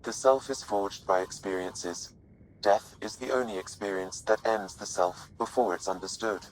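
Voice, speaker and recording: Game AI companion, played by a man: deep, measured, courteous and curious, faint noise behind. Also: steady tone, lightly acted, rough recording. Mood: calm, contemplative. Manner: formal, measured, precise phrasing. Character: polite, curious artificial intelligence companion, analytical and earnest. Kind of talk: video game voice acting.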